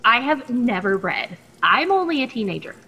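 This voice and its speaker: A woman's voice: fairly high pitch, very animated, normal speed, bright, forceful tone, breathy edge, heavily acted. A woman playing a plucky, nervous, chatty teenage captive in a radio play, stammering politely in a reverberant recording. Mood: uneasy. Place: video call performance. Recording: long echoing reverb, faint noise.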